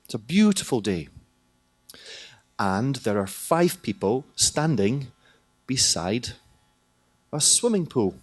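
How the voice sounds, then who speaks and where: A man giving a lively, jokey talk at a conference hall, often asking the audience questions. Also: mid-range voice, takes it slowly, pauses often. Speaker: conference presenter, teacher trainer.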